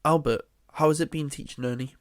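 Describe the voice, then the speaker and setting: A polite interviewer, played by an actor, with a mid-pitched voice. The questions are scripted and the sound is close and dry. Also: steady tone, a little fast, no echo, close to an everyday voice. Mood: neutral. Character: polite local podcast interviewer. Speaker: podcast interviewer.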